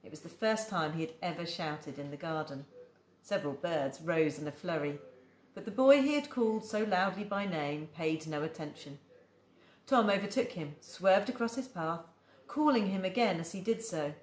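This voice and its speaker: A woman's voice: fairly low pitch, moderate pace, reverberant. A woman teacher reading a children's novel aloud, acting out the dialogue. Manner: expressive, acts out the dialogue. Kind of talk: storybook read-aloud.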